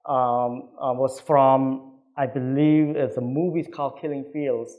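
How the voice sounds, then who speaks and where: A man in his fifties with a Cambodian accent, a native Khmer speaker, giving a public talk as an author in a halting, earnest way. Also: medium pitch, fairly even intonation, narrow, tinny audio. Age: 50s. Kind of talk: public talk about own book.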